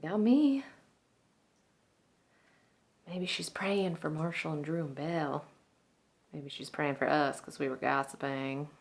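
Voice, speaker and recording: A woman acting out a Southern salon owner in a stage drama, warm-hearted and gossipy. Her voice sits a little low, and she confides in a folksy way, sometimes breathy. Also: slow pace, pauses often. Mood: wry gossip.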